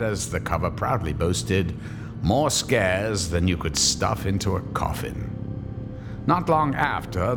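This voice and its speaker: A man's voice: low-pitched, exaggerated voice. A man playing an ominous horror storyteller, narrating a radio drama dramatically with reverb and music. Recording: long hall reverb, music behind.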